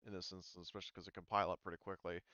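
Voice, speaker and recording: A man's voice: low-pitched, level tone, racing, pushed and bright, no room sound, dull audio. A man running a tabletop roleplaying game as game master, casually explaining rules.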